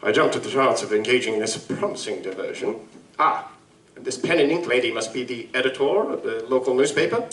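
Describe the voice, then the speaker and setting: A man's voice: medium pitch, animated, fairly quick, a bit bright, lightly acted. A man playing a pompous, genial English big-game vampire hunter with grandiloquent, genteel speech in a live audio drama. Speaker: stage actor. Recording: roomy live stage, distant mic.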